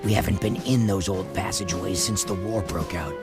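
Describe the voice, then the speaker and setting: A man playing a gruff, weary, matter-of-fact military commander giving briefings in a video game. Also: sits low, brisk. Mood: commanding. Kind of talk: video game cutscene acting. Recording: music behind the voice.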